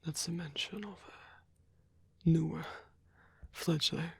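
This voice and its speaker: Husky, whispering old male vampire, acted by a man. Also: on the high side, fairly even intonation, no echo, full character voice. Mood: confiding, gentle. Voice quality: breathy, husky half-whisper. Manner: slow, halting, softly teasing. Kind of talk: ASMR roleplay.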